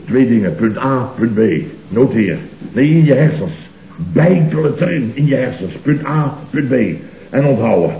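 An actor playing a bossy, agitated office boss who barks clipped, repetitive orders in 1970s radio comedy style. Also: male voice, medium pitch, lively intonation, moderate pace, a little hiss or hum, natural voice. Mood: panicky, bossy. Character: panicky, bossy, blustering male office boss. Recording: dull, echoey old radio recording.

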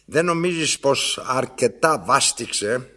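Cantankerous old master, radio drama, raspy, swooping intonation, pompous declamation. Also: male voice, medium pitch, lively intonation, bright, forceful tone. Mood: weary, probing. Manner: pompous, declamatory, halting and repetitive. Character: domineering, cantankerous blind old master in an absurdist play. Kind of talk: theatrical radio play acting.

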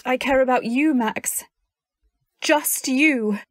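A woman's voice: high-pitched, slow pace, no echo, clean studio sound. A woman playing a fiercely loyal, protective wife in a radio drama, speaking earnestly and with dramatic emphasis. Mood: pleading. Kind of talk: old-time radio drama acting with first-person narration.

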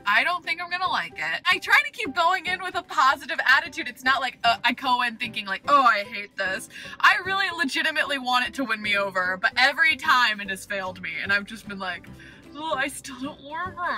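Young woman vlogger, 20s, high bright voice, playful car chat with faint music behind. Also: a little fast, poor audio. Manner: animated, exclamatory, playful. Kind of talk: casual solo vlog chat. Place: inside a car, driving.

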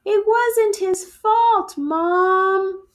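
Woman putting on squealing, excitable little storybook bugs. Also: very slow, heavily acted. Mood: pleading. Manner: exclaiming, high-pitched squealing.

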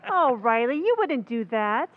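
An actress playing a sensible, skeptical wife in an old-time radio comedy, earnest and gently reproving. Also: female voice, a little high, big pitch swings, measured pace. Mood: doubtful. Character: sensible, skeptical wife who gently challenges her husband. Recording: tinny, phone-like sound with long reverb.

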